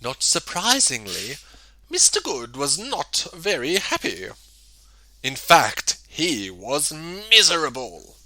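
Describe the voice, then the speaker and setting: Guy reading a kids book, super swoopy and theatrical, takes his time, crisp bright voice, big pauses. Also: a little high, huge pitch swings, no room sound, rough recording. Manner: theatrical, emphatic, dramatic pauses. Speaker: storybook reader. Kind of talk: expressive children's read-aloud narration.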